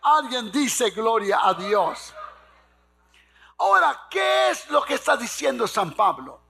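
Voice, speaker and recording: A male preacher delivers a fervent sermon in a very high, forceful voice with a heavy rasp. He exhorts and repeats his phrases for emphasis, prompting the congregation to answer him. His delivery is slow and weighty. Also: sing-song.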